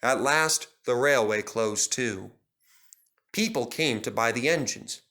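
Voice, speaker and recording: A man reading a children's story as narrator, in an expressive storytelling manner. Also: mid-range voice, takes it slowly.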